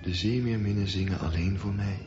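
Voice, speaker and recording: An actor playing a dreamy, defiant young swimmer thinking aloud in a poetic radio drama monologue. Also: male voice, fairly low pitch, steady tone, brisk, close to an everyday voice. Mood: proud, dreamy. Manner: poetic, musing. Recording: dull lo-fi radio play recording. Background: prominent music.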